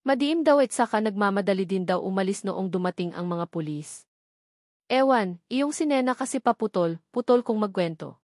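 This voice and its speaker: A blunt, gossipy older housemaid, read by a woman narrator in a storybook drama. She talks in a chatty, caring way at a middle pitch, recorded very dry. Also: animated, moderate pace, a little mellow, no echo, natural voice. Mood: reassuring.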